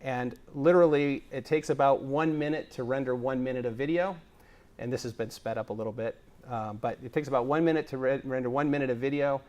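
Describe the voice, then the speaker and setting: A man's voice: medium pitch, a little fast, a little mellow. A man giving a conference presentation on video production, explaining with energy and frequent fillers. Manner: enthusiastic, explanatory, filler-heavy. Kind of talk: tech conference talk. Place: conference stage.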